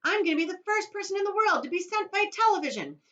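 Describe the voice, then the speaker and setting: A woman voicing an excited, boastful young boy who shouts eagerly. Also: very high-pitched, fast talker, muffled recording, voice pushed up, heavily acted.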